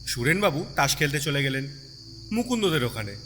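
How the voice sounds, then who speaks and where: A man narrating a story in an expressive, dramatic storytelling style with sympathy in his delivery. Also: fairly high pitch, brisk. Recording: long reverb, background night insect noise.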